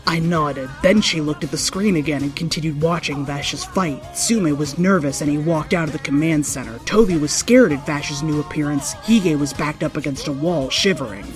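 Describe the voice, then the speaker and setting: A woman's voice: low-pitched, moderate pace, few pauses. A woman reading a fan-written story aloud as a dramatic narration. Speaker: fanfiction reader. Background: background music.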